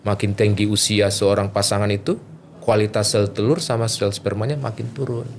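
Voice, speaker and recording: A man with a fairly low voice explains things as a medical specialist in a conversational interview. He is informal, repeats points for emphasis and poses his own questions. Some background ambience sits behind his voice. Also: little pitch movement, normal speed, slight background noise. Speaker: doctor, fertility specialist guest.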